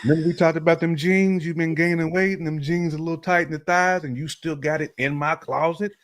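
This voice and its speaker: An American man chatting casually about relationships as a show host, telling stories in animated, slangy language. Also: on the high side, a little fast. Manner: animated storytelling with slang and hypothetical examples.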